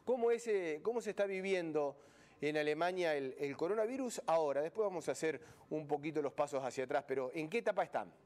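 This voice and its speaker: Chatty Argentine news host, higher voice. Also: male voice, quick pace, darkish tone. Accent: Argentine Spanish. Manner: chatty, many "ujú" backchannels. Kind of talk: broadcast interview.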